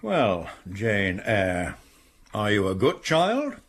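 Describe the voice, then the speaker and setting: An actor playing a severe, pious middle-aged school patron who lectures a child coldly in a radio drama. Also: male voice, on the low side, huge pitch swings, slow pace, fairly bright tone, a little hiss or hum, full character voice. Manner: severe, preaching and cold.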